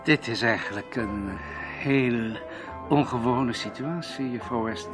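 An actor in a radio drama playing a suave, cagey villain who poses as a courteous, hesitant Canadian gentleman. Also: medium pitch, slow pace, natural voice. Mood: feigned politeness. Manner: courteous, hesitant, feigning ignorance. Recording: radio play with music and crowd behind. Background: music and crowd chatter.

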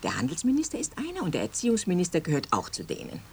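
A woman's voice: on the low side, wildly swooping pitch, racing, darkish tone, a little hiss or hum. An actress playing a breezy, hospitable Prague hostess who reassures her guest in a radio play. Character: chatty Prague hostess, woman.

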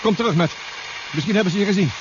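An actor playing a protective, anxious submarine crewmate who gives careful instructions in a 1970s science-fiction radio drama. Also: male voice, sits high, racing, close to an everyday voice. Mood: worried, urgent. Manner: cautioning, instructive. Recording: dull, noisy 1970s radio recording.

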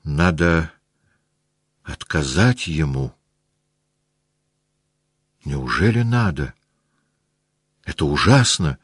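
A man's voice: very deep voice, expressive, very slow, pauses often, husky, no room sound, exaggerated voice. A male narrator acts out a proud young noblewoman, stubborn and hurt in love. The manner is emotional, in an audiobook style. The sound is dry and close. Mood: troubled.

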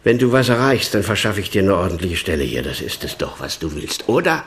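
An actor playing a cynical, blunt police chief in a German radio crime drama, sardonic and emphatic. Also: male voice, low-pitched, very animated, a little fast, slight background noise. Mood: grudging, blunt. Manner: sardonic, emphatic, swinging from muttered to loud.

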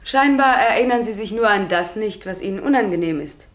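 A woman's voice: medium pitch, animated, brisk, close to an everyday voice. Actress as a pointed female prosecutor, echoey room. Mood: pointed, sceptical. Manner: pointed, formal questioning. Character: sharp, formal female public prosecutor. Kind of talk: German radio crime drama acting. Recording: roomy, echoing radio play sound.

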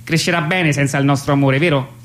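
A man voicing a new mother on the phone in a radio drama, patient but nervous, stammering and repeating words as the mother gently corrects. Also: fairly high pitch, steady tone, brisk, lightly acted. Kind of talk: theatrical radio monologue, staged phone dialogue.

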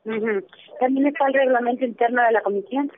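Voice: medium pitch, fast. A clerk at a human rights office answering a phone call, hesitant and full of fillers. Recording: tinny phone line.

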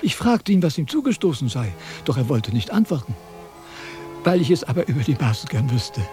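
An actor playing a self-important, wordy wandering philosopher in a declamatory, quibbling radio drama style. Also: male voice, mid-range voice, big pitch swings, fairly quick, mellow, faint music behind, natural voice. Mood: pompous reasoning. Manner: declamatory, pompous, sophistical. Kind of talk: theatrical German radio drama acting.

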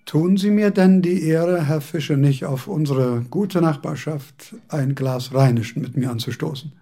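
An actor playing an aging, fastidious male philosopher in a radio drama, speaking with formal old-fashioned courtesy. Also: on the high side, mellow.

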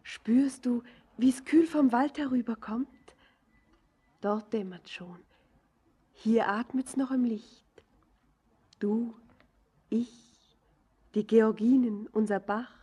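An actress playing a tender, dreamy young miner's daughter in a poetic radio play, speaking lyrically and often dropping into whispers. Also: female voice, a little high, slow pace, pauses often, mellow, breathy edge, exaggerated voice. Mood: dreamy wonder. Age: young adult.

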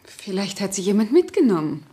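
An actress playing an evasive, secretive family friend in a German radio crime play, smoothly explaining things away. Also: female voice, mid-range voice, very animated, a little fast, warm tone, slight background noise, lightly acted. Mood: evasive, soothing. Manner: explains herself smoothly, plays down worries.

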